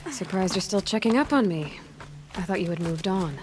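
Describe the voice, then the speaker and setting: An actress playing a young, independent huntress heroine in a video game, dry, teasing and understated. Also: female voice, mid-range voice, animated, normal speed, background noise, close to an everyday voice. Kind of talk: dramatic game dialogue. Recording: clear music behind. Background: music.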